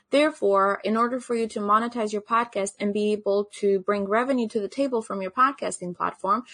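A woman's voice: medium pitch, a bit bright, no echo. A young woman from Albania, speaking, gives an earnest online conference presentation as a podcast host, often saying "actually" and "you know.".

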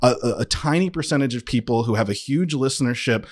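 A man's voice: mid-range voice, lively intonation, fast. A man speaking as an interview guest, arguing with conviction in a conversational way, emphatic and often interrupting himself to rephrase. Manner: earnest, emphatic, self-interrupting with repeated phrases. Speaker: interview guest, comedy and audio writer. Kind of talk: conversational interview, impassioned argument.

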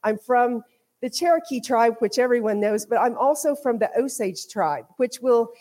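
A woman's voice: a little high, animated, normal speed, dark tone. A woman from Oklahoma with an American accent, giving an informal sermon as a visiting preacher, conversational and rolling through repeated phrases. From Oklahoma, United States. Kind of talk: informal sermon with personal testimony. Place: speaking to a congregation at a church.

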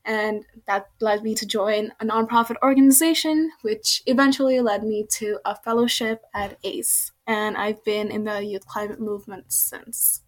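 A woman's voice: mid-range voice, steady tone, normal speed, hardly any pauses. A young adult woman from Bangladesh, speaking as an activist guest and giving casual interview answers. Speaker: guest, youth climate activist.

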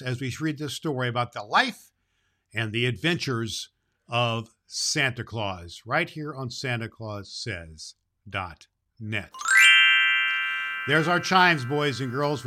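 Jolly old Santa Claus, played by a man, bright and forceful, folksy children's show host, affectionate with young listeners. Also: mid-range voice. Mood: warm storytelling, then cheerful, chatty. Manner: affectionate, folksy, addresses children, stumbles over words.

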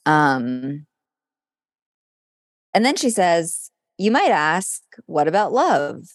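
A woman's voice: on the low side, big pitch swings, extremely slow, no echo, studio quality. A woman co-hosting a podcast in casual chat, reading horoscopes aloud in a conversational way with some dramatic delivery. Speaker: podcast co-host.

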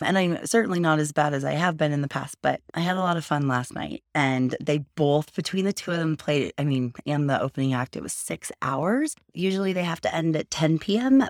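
A sleepy, hoarse woman in her thirties hosting a podcast, rambling in a chatty way and circling back to her point. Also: low-pitched, no echo, studio quality. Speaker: podcast host. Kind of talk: casual solo podcast talk.

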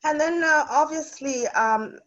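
A woman's voice: high-pitched, unhurried, a bit bright, highs cut off. A woman from South Africa, a guest chatting in an interview with lots of fillers and restarts. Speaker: guest real estate investor. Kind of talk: casual interview.

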